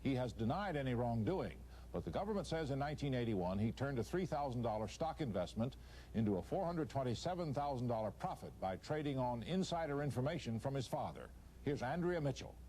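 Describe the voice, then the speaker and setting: A man's voice: mid-range voice, very animated, fairly quick, mellow. A man anchoring a television newscast, reading a scripted story. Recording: faintly noisy taped TV broadcast.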